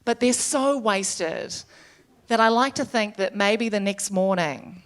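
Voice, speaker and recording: Stand-up comic, woman, thirties, New Zealand accent, chatty self-deprecating delivery, mid-range voice. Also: mid-range voice. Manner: chatty, self-deprecating, quick asides with laughter. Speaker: stand-up comedian. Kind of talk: stand-up comedy set. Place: comedy stage before a live audience.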